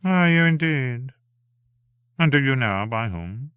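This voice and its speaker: A flippant aristocratic poet from a storybook, acted by a man. He is languid and amused, mocking with a lively rise and fall in his voice. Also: mid-range voice, slow pace, clean tone, no echo, narrow, tinny audio, voice pushed up.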